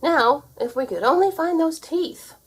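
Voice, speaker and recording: A mild-mannered storybook father voiced by a woman. He explains things in a lively, varied pitch, with a touch of creak in the voice. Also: unhurried. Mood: approving. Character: kindly storybook father, adult man, unhurried and mild.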